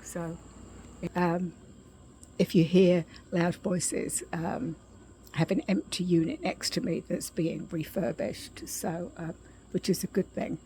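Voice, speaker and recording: A middle-aged woman with a scratchy voice giving a casual spoken update outdoors, softly, with frequent throat clearing and ums. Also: lowish voice, slow. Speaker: vlogger. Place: outdoors at her condo, quiet yard with birds.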